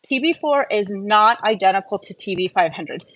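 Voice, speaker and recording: A woman with a medium-pitched voice talks as the host of an informal live stream. She teaches in an enthusiastic, emphatic way. Her delivery is casual and chatty, with asides to the viewers. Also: lively intonation, moderate pace, a bit bright, no echo, rough recording.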